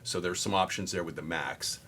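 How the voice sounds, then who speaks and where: Very deep-voiced man explaining products. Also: brisk, sharp tone. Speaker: golf brand representative. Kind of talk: casual product talk. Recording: noticeable background noise.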